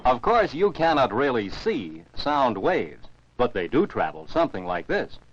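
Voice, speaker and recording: A man's voice: mid-range voice, very animated, a little slow, a little hiss or hum. An American man narrates scripted science for children as an educational film narrator, in a didactic, carefully enunciated way. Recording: dull, dry 1949 film soundtrack.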